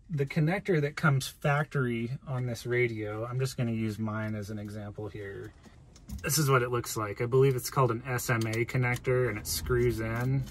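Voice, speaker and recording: A man's voice: medium pitch, a little hiss or hum. A man in his thirties giving a casual, plain-spoken hands-on gear walkthrough from inside a parked vehicle. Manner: plain-spoken, informal explaining. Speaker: video host showing gear. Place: inside a parked van cab.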